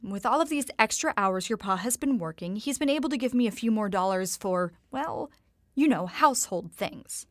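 A woman playing a devoted, gently worried mother in a nostalgic period audio drama, speaking in a genteel, tender and proper way. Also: medium pitch, animated, moderate pace, dry recording, studio quality, natural voice. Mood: proud, hopeful.

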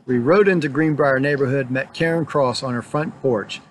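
A man's voice: mid-range voice, moderate pace. Middle-aged man, informal introduction, faint noise. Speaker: church representative introducing a video. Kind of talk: informal spoken introduction to camera.

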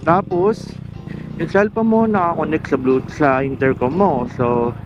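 A man's voice: fairly high pitch, animated, unhurried. A Filipino man giving a casual explainer vlog, talking colloquially and directly to the viewer. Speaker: motovlogger. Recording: muffled lo-fi mic, music underneath.

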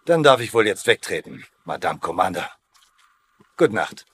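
Sardonic commanding officer, very animated. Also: male voice, deep voice, normal speed, husky, full character voice. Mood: resigned. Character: wisecracking American colonel leading prisoners of war. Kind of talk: dubbed sitcom acting.

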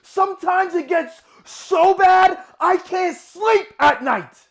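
A man performing a dramatic monologue as a tormented young man, intense and halting, moving between hushed and anguished. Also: a little high, very animated, pitched below the performer's own voice. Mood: anguished, frantic. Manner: intense, halting, bursting from quiet murmurs into anguished shouting. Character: tormented young man haunted by shaving, swinging from hushed to frantic.

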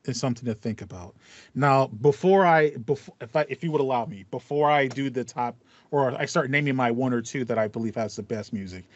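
A man's voice: medium pitch, a little fast. A man in his thirties chatting casually as a podcast co-host, stressing points emphatically by repeating himself. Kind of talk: casual video game chat. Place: small home room with foam panels, video call. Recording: slightly dull, band-limited audio.

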